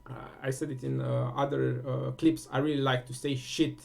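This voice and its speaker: A man from Romania speaking English with a Romanian accent, giving a casual spiritual talk full of fillers, restarts and pauses. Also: medium pitch, flat delivery, vocal fry, a little mellow. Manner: halting, many fillers and restarts. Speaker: host.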